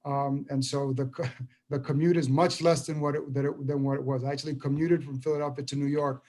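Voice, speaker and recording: Halting, earnest man in his fifties. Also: mid-range voice, little pitch movement, darkish tone. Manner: halting, many repeated words and restarts. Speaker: school superintendent. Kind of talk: public meeting remarks.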